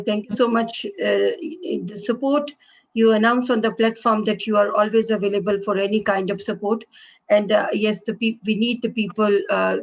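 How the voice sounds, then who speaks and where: A woman's voice: medium pitch, poor audio. A woman moderating an online meeting over a video call, polite and full of hesitations. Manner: polite, many hesitations and fillers.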